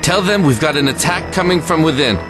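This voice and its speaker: A man's voice: a little high, a little fast, lightly acted. A man playing a dogged, embattled army lieutenant in an audio drama, blunt and urgent. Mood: commanding. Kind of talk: amateur audio drama acting. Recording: loud music behind the voice.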